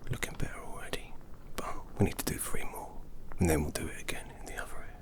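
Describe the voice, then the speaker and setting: A man's voice: fast talker, natural voice. A man whispering as a chatty, muddled Victorian graverobber in an ASMR roleplay. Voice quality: soft whisper. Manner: rambling, muddled and chatty, loses count. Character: bumbling Victorian graverobber tending a corpse.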